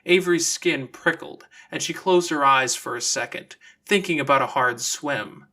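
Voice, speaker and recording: Bright, forceful audiobook narrator. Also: medium pitch, moderate pace. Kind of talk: scripted story narration.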